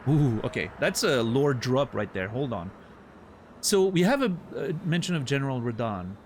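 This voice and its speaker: A man's voice: mid-range voice, lively intonation, normal speed, a little hiss or hum. Chatty French-accented man streaming a game. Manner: chatty, thinks aloud, answers chat with playful mock outrage. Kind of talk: casual livestream gameplay commentary.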